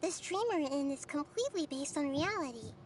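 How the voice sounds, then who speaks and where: A woman playing a gentle, wise, childlike girl deity in an anime video game, small-voiced, measured and articulate. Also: high voice, expressive. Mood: patient. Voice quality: small, girlish. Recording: game stream with background noise.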